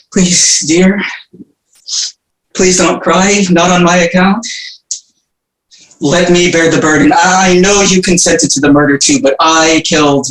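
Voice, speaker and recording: An actor playing a sick, tormented young prince haunted by madness, weary and feverish by turns. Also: male voice, a little high, fairly bright tone. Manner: weary and feverish, swinging into frantic raving. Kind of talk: stage play reading. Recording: roomy echo.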